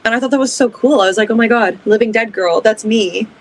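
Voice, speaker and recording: A woman's voice: fairly high pitch, fast talker. A young adult woman from Canada, a band's lead singer, talking in a casual, upbeat interview with lots of fillers. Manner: chatty, upbeat, many fillers. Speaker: band singer and guest.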